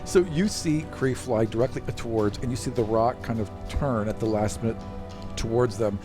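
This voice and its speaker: Male game master for an actual-play podcast, conversational storytelling over a clear music bed. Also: mid-range voice, moderate pace. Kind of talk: casual narration of a tabletop game. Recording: prominent music behind the voice.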